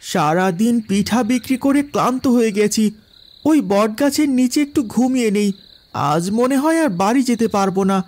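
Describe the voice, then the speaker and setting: Man voicing a high-pitched earnest village boy. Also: a little mellow. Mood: weary. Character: Raju, earnest poor village boy selling rice cakes. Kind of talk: Bengali cartoon moral-story voice acting. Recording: music behind the voice.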